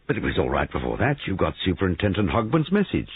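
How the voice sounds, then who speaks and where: A man's voice: sits low, huge pitch swings, fast, husky, no echo, narrow, tinny audio, pitched above the performer's own voice, exaggerated voice. A man voicing an anxious, curious young wife with an earnest, questioning manner in a story reading. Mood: anxious curiosity.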